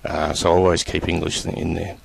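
A man presenting a screen-recorded computer tutorial, talking in a relaxed, halting way with many fillers. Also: deep voice, gravelly, no echo.

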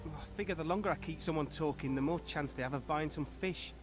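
An actor playing a talkative, hapless fish stall owner in a video game, pitching his wares with a salesman's patter. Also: quick pace, natural voice. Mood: rueful. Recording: game audio with music behind.

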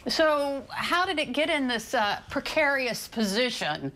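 Bright-voiced woman TV co-host. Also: sits high, big pitch swings, a little slow. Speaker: TV show co-host. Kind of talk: scripted TV segment hosting. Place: TV studio set.

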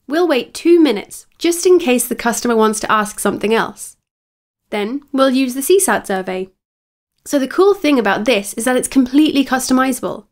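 A woman with a slightly high voice narrates a scripted software lesson. She explains each step clearly, as a tutorial presenter would.

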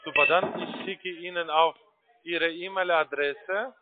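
Man, higher-pitched voice, foreign accent, call-centre salesman, formal and halting, narrow tinny phone line. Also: fairly even intonation, very noisy. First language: not German. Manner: halting, formal German with grammar slips.

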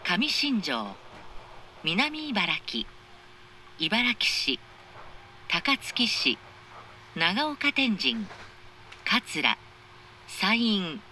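A woman making formal, measured, carefully articulated scripted train announcements over an onboard speaker. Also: medium pitch, very animated, sharp tone, slight background noise. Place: recorded inside a train carriage.

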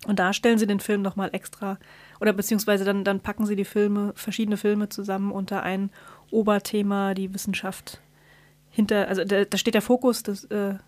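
Female podcast co-host: mid-range voice, conversational, halting explainer, recorded dry. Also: mid-range voice, level tone, a little mellow, dry recording. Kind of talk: casual podcast chat.

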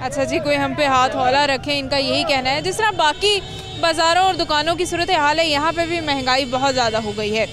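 A woman's voice: sits high, brisk. A young Pakistani woman working as a TV field reporter, interviewing people on the street into a handheld microphone. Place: crowded outdoor street market. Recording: busy outdoor market crowd noise.

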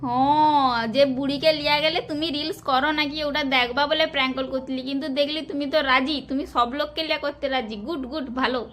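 A woman's voice: high-pitched, steady tone, fast talker, fairly bright tone. A woman from West Bengal with a rural Medinipur Bengali accent, chatting casually as a prank-call vlogger. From West Bengal, India. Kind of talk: casual chat.

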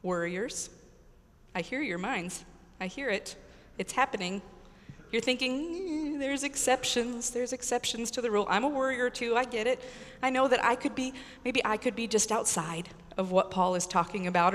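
A middle-aged American woman priest giving an earnest, emphatic sermon with deliberate pauses and repetition. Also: on the high side, slight background noise. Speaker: Episcopal priest preaching. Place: large church with congregation, indoors. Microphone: pulpit microphone. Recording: echoey church hall reverb.

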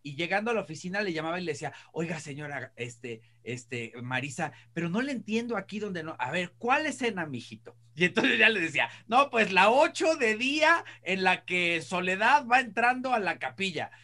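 A man's voice: high-pitched, very animated, moderate pace, few pauses, bright, forceful tone. A middle-aged man with a Mexican accent chatting casually and telling anecdotes as a panelist on a video call. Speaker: entertainment show panelist. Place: indoor room, video call. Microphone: video call mic.

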